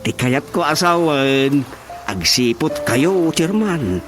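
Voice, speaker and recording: Very high-voiced Ilocano comic villager. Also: male voice, sing-song, takes it slowly, music in the background. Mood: needling. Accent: Ilocano Filipino. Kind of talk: Ilocano radio comedy drama acting.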